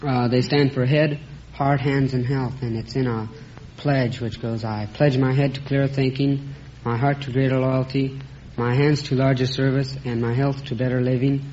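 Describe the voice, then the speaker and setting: A man's voice: medium pitch, little pitch movement, moderate pace, a little mellow. A fourteen-year-old boy answering a host's questions earnestly and plainly as a schoolboy contestant. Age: 14, teenage boy. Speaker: schoolboy quiz show contestant. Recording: dull vintage radio recording, slight noise.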